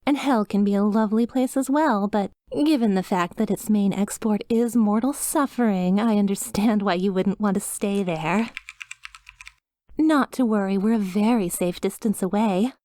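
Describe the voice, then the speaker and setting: A woman's voice: mid-range voice, normal speed, darkish tone, no room sound, natural voice. Woman acting a chipper demon receptionist, customer-service sweet. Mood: reassuring. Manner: polished, over-polite customer service voice. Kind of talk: scripted ASMR roleplay.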